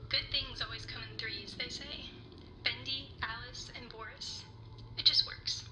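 A resentful, fixated animator, played by a woman, speaks in a somewhat high voice with a bitter intensity. A noisy background can be heard behind her. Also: steady tone, a little slow, lightly acted. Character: obsessive young female animator, resentful and determined.

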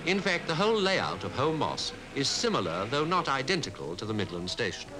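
Animated 1950s British newsreel narrator, man. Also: medium pitch, over music. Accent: British Received Pronunciation. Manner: formal, measured delivery. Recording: 1950s newsreel archive soundtrack.

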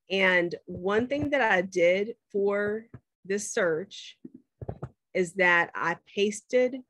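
A woman's voice: medium pitch, fairly bright tone, dry recording. Chatty woman teaching a class. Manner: conversational, checks in with students. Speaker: professor. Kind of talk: screen-share class demo.

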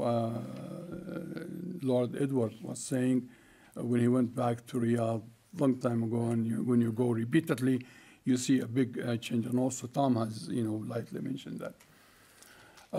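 Older man giving a halting keynote. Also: medium pitch, warm tone. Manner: halting, many fillers and restarts. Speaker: keynote speaker. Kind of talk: conference keynote talk. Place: conference hall stage at a lectern. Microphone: lectern microphone.

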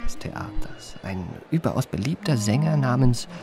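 Radio drama narrator: male actor as refined, vain gentleman telling his confessions, ornate and savouring, mid-pitched. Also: lively intonation, normal speed, over music, close to an everyday voice. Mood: rapturous. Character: refined, self-enamoured man narrating his memoirs. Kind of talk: literary scripted narration in a radio play.

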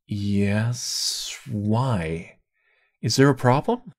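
A man playing a polite, reserved young gentleman in a visual novel, courteous and measured. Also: lowish voice, expressive, exaggerated voice.

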